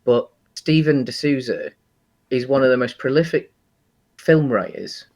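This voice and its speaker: A man co-hosting a casual film discussion podcast, talking enthusiastically in a hesitant, halting, rambling way. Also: mid-range voice, animated, darkish tone. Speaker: podcast host.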